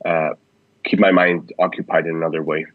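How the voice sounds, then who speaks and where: A man from Switzerland, answering interview questions in a relaxed, thoughtful way with frequent restarts. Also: low-pitched, brisk, narrow, tinny audio, over a noisy crowd. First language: Swiss German. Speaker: guest. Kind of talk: podcast interview.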